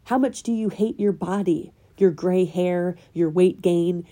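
Female podcast host, slightly nasal from a cold, very dark mellow tone, earnest self-help monologue. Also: lowish voice, measured pace. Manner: earnest, probing rhetorical questions. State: getting over a cold.

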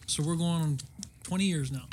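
A man's voice: a little high, fairly quick, darkish tone, slight background noise. A middle-aged man, a guest on a casual podcast, easygoing and agreeable.

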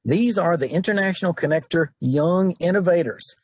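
A man's voice: sits high, normal speed, dry recording. A man hosting a podcast, giving friendly welcoming introductions. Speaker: podcast host.

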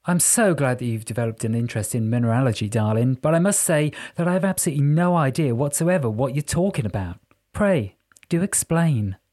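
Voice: medium pitch, animated, fairly quick, darkish tone, natural voice. A reader voicing a smug, flamboyant male rock musician in an audiobook, affected, wordy and theatrical. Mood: bemused. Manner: affected, camp, wordy and pompous.

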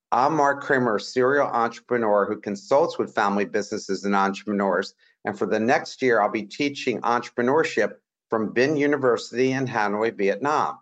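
A man hosting a business interview show, enthusiastic and a bit hesitant, with fillers. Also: mid-range voice. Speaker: podcast host. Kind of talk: interview host chat.

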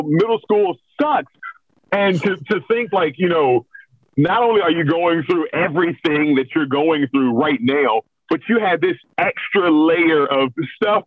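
A middle-aged American man chats candidly in an interview, with a self-deprecating streak. His pitch sits a little high for a man. The sound is close and dry but thin and narrow, like a phone call. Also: no room sound. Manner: candid, self-deprecating humour, chuckles often. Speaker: host of a children's story reading show, being interviewed. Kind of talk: casual conversational interview.

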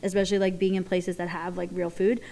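A woman's voice: fairly low pitch, level tone, quick pace, warm tone. A woman from Philadelphia with a Philly accent, co-hosting a casual talk show, chatty and rambling, laughing often. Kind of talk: casual chat.

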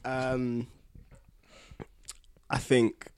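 A man's voice: medium pitch, completely flat delivery, very slow, darkish tone, clear, resonant tone. A man chatting casually as a podcast panellist, with an informal, slangy and joking way of talking. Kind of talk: casual chat.

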